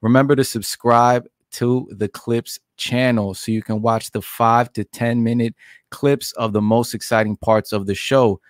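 A man's voice: mid-range voice, fairly even intonation, measured pace, no room sound, studio quality. Brash man reeling off a show intro. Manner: brash, cocky promo patter. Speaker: podcast co-host. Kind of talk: rapid-fire show intro.

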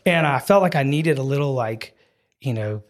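A man in his forties from Wisconsin, a guest telling stories in a relaxed podcast chat, animated and laughing easily, with many filler phrases. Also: medium pitch, moderate pace. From Wisconsin, US Midwest. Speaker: guest, ministry program leader. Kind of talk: casual storytelling in a podcast chat.

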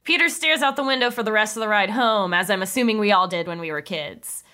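A woman with a bright, forceful voice reads comedic stage directions. She is playful and drops casual asides into the scripted narration, at a middling pitch. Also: fast. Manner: playful, breaking into amused asides. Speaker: narrator of a script table read.

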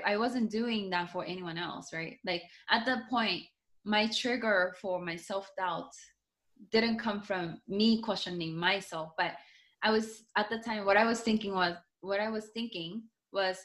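A woman with a Japanese accent, whose first language is Japanese, answers interview questions as an author. Her pitch sits in an ordinary middle range for a woman. She talks in loose, rambling restarts full of fillers. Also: a bit bright. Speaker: guest, author. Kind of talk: casual conversational interview.

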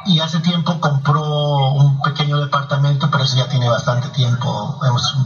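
A man's voice: mid-range voice, fairly even intonation, normal speed. A man interviewing a guest on the radio and reading out names and addresses in a methodical way. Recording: echoey, distant, muffled radio sound, faint music.

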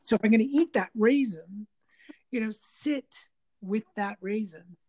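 A woman's voice: fairly high pitch, unhurried, a little mellow, low-quality recording. A woman with a slightly nasal voice in casual conversation, rambling with many restarts. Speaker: guest talking about diet and the body.

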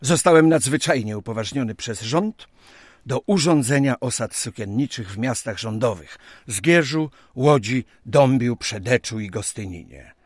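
An actor playing a 19th-century Polish official, speaking in formal, old-fashioned phrases with deliberate pauses, earnest and dignified in an audio drama. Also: male voice, mid-range voice, animated, no echo, clean studio sound. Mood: solemn, businesslike. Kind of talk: audio drama acting and diary narration.

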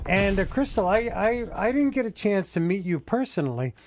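A man's voice: sits high, big pitch swings, brisk. A man hosting a casual morning radio show, joking and stumbling over fillers and restarts.

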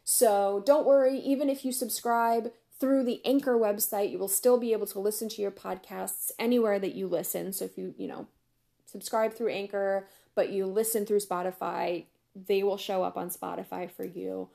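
A woman hosting a casual solo podcast, chatty and upbeat with frequent laughs, her voice going hoarse. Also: medium pitch, fairly even intonation, moderate pace, warm tone. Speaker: podcast host.

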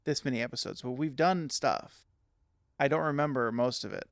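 A young adult man co-hosting a podcast, joking around with quick comebacks and telling stories in a casual, animated way. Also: medium pitch, gravelly, no echo, studio quality. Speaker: podcast co-host. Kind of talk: casual chat.

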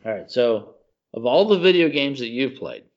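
A man's voice: medium pitch, very animated, moderate pace, highs cut off. A man co-hosting a casual podcast, talking in an effusive, slangy way and repeating himself for emphasis. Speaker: podcast co-host. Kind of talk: casual chat about video games and movies.

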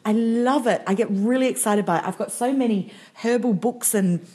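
A woman's voice: on the high side, moderate pace, mellow. A woman co-hosting a talk show, enthusiastic and gushing as she chats. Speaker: podcast co-host. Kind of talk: casual chat.

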